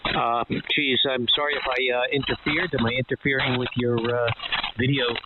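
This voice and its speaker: Male ham operator, hesitant and unhurried, thin narrowband radio sound buried in loud static. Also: mid-range voice, little pitch movement. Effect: heard over amateur radio link. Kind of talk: casual ham radio conversation.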